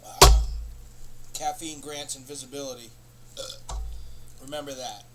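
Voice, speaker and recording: A man hosting a comedy show, rambling through an absurd, profane monologue in a deadpan way. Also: medium pitch, a little slow, heavy background noise. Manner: rambling, profane, deadpan nonsense with sudden bursts of laughter.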